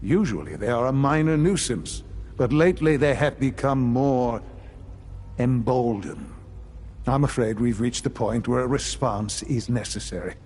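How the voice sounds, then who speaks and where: An actor playing a calm, calculating older institute leader in a video game, speaking formally and courteously. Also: male voice, medium pitch, measured pace, a little hiss or hum, lightly acted. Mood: grave, resolute.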